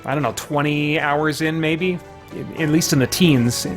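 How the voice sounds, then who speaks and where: A man's voice: fairly high pitch, measured pace, over music. A man hosting a video game livestream with casual, rambling commentary, breaking off mid-thought to react to the game and chat.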